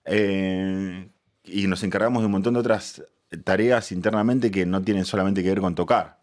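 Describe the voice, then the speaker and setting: Guy, musician being interviewed, lower voice, explains stuff in formal measured words. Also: steady tone, darkish tone. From Argentina.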